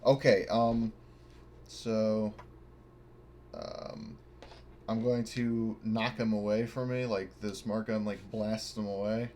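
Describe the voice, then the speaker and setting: Lowish-voiced man chatting over a game. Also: level tone, slow pace, pauses often, a little mellow. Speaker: tabletop game player. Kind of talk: casual game-table chat. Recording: clear background noise.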